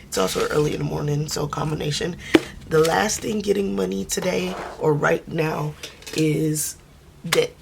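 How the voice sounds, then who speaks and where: A woman who is losing her voice speaks softly and casually, counting money aloud in a budgeting vlog. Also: steady tone, moderate pace, gravelly, heavy background noise. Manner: soft, low-key, counting under her breath. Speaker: budgeting vlogger.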